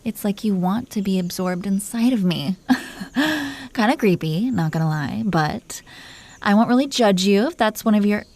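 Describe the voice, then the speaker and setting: A haughty dragon queen, acted by a woman in a mid-pitched voice, speaking intimately to the human she has made her husband. She is imperious and possessive, yet doting and playful. Her delivery is that of a scripted romantic audio roleplay, full of teasing and superior airs. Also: a little mellow, no room sound. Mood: amused teasing. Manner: imperious, teasing, affectionately condescending. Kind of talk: scripted roleplay monologue spoken to the listener.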